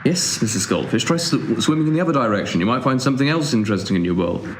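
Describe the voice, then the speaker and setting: A man's voice: mid-range voice, expressive, quick pace, close to an everyday voice. A young man acting a cynical, sardonic, aimless younger brother in a naturalistic audio drama, with a dry, wordy wit. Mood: sarcastic. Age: young adult. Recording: echoey room, faint background noise.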